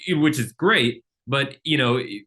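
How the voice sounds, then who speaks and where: A man from Pennsylvania, a podcast guest in finance, talking casually and agreeably with quick affirmations. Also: mid-range voice, expressive, fairly bright tone.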